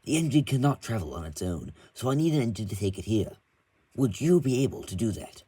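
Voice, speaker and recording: A man's voice: lowish voice, animated, fairly quick, mellow, husky, no room sound. A man voicing a formal, courteous male railway boss giving instructions.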